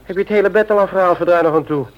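A man's voice: sits high, rapid-fire, natural voice. An actor playing a middle-aged husband and father in a Dutch radio play, teasing, hearty and mock-indignant in a domestic scene. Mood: playful grumbling. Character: grumbling but affectionate middle-aged husband and father, office man. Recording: dull, lo-fi old radio recording.

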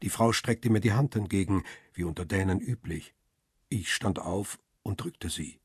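An actor playing a photographer who tells his own story in a radio crime drama, measured and observant, with a rough, husky voice. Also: low-pitched, no room sound, clean studio sound. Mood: measured, reflective. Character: male photographer, husband, observant first-person narrator. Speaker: narrator and lead actor. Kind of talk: first-person radio crime drama narration.

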